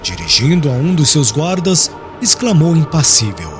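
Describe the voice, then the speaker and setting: Male audiobook narrator, fairly high voice, expressive swings, formal and solemn storytelling with music underneath. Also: moderate pace. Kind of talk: dramatic scripted narration of religious historical novel. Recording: music clearly behind the voice.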